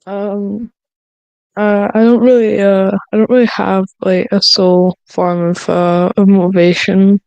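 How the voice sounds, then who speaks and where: A woman gives halting, informal answers as a podcast guest. Her voice is clear yet creaky at the edges, with little movement in pitch, and she often repeats words as she searches for the next one. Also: little pitch movement, a little mellow, no echo, dull audio. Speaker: script writer on podcast panel. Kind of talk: casual panel chat.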